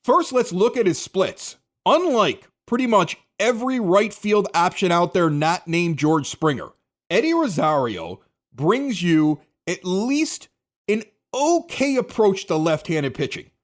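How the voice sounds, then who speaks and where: A man's voice: high-pitched, sing-song, a little slow. A middle-aged American man hosting a sports podcast, giving opinionated, emphatic conversational commentary. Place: home basement studio.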